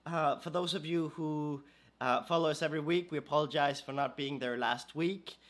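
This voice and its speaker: Hoarse man hosting a podcast. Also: fairly high pitch, fairly even intonation, normal speed, a bit bright. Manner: hesitant, many fillers and restarts. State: hoarse from a cold. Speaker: podcast host. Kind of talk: casual podcast talk.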